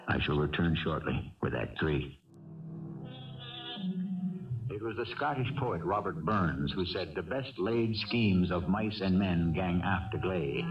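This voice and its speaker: Casting: man with a low, philosophical, theatrical delivery to narrate an old radio play, muffled vintage sound. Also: distant mic. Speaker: radio drama host. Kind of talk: 1970s radio scripted narration. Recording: dull vintage radio recording with music.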